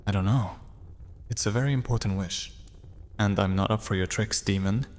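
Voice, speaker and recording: A man's voice: on the low side, normal speed, lightly acted. A man playing a guarded, impatient wandering thief in a fantasy audio drama, speaking in a terse, wary, dismissive way. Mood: distrustful. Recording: long cavernous reverb, music underneath. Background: music.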